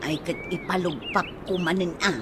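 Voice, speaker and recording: A woman's voice: lowish voice, moderate pace, lightly acted. A woman playing a complaining, wheedling friend in a radio comedy drama, with an Ilocano accent. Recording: noisy audio with birds and traffic.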